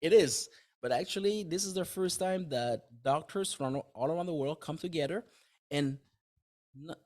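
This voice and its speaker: A man's voice: a little high, a little mellow. French-native man chatting as a guest. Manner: thinks aloud in simple words, with frequent restarts and light laughs. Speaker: podcast guest, dentist and author. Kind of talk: casual interview conversation.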